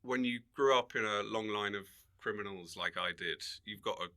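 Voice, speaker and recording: A man's voice: on the low side, moderate pace, bright, forceful tone. A male comedian chatting casually as a podcast guest, with a wry, mock-serious way of talking.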